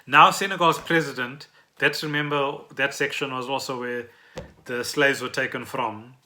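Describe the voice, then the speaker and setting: A man's voice: mid-range voice, fairly even intonation, moderate pace, pushed and bright, a little hiss or hum. A South African man hosting a podcast, explaining trending news in a conversational way and often checking in with the listener. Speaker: podcast host.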